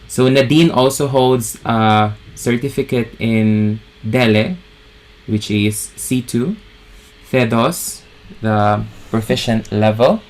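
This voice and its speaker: A man's voice: lowish voice, a little mellow. A man from the Philippines hosting an online event, formally introducing the guest speaker. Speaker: host.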